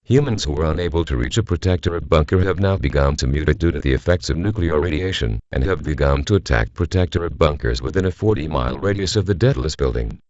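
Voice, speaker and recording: A man playing a grave government announcer, reading a scripted emergency bulletin in a formal, measured, solemn manner. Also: extremely low pitch, animated, a little mellow, no room sound, muffled recording. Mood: grave, official. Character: grave male government civil defense announcer.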